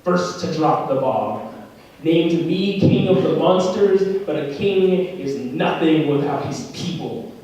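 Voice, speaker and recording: A young man performing a slam poem with dramatic delivery, swinging from loud shouts to low hushed lines. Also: expressive, slow. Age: young adult. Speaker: slam poet. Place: school room. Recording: very echoey room, voice from far off.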